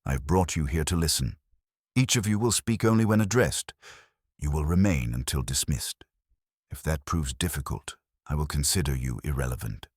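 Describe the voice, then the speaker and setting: A stern, imperious detective who rules a room from his chair, as a male narrator puts him on. The voice is very deep, yet it rises and falls with lively, deliberate emphasis. Every word lands cold and precise in a close, dry sound. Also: husky, dry recording, full character voice.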